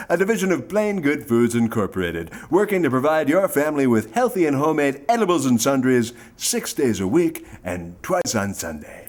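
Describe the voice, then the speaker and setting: An actor playing a folksy, hammy, eager pitchman on an old-time variety show, delivering theatrical sponsor patter. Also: natural voice. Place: live theatre stage.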